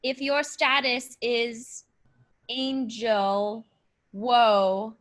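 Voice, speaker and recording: Woman improvising a petty, bossy God, higher voice. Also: little pitch movement, drawn-out words. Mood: exasperated, bossy. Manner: bossy, repeats words insistently. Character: petty, vindictive female God bossing her angels. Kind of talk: improvised comedy scene.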